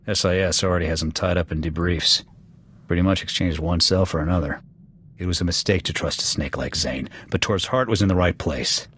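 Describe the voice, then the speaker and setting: An actor playing a gruff, proud military officer in a video game, terse and clipped in delivery. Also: male voice, low-pitched, fairly quick, fairly bright tone, a little hiss or hum. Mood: earnest, concerned. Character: gruff, proud male military sniper officer, loyal but hot-tempered. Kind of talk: video game dialogue acting. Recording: music behind the voice.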